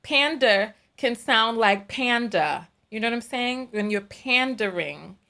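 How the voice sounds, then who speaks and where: A woman's voice: a little high, slow. A woman with a New York accent hosting a casual livestream, chatting and reading viewer comments, laughing and repeating herself. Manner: chatty, animated, laughs often, repeats phrases. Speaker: livestream host.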